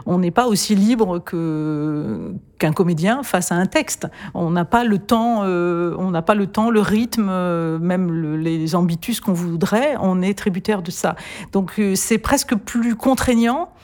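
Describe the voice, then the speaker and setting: A woman's voice: fairly low pitch, a little slow, darkish tone. A female singer in an interview who talks thoughtfully and articulately, with some hesitations. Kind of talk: interview explanation.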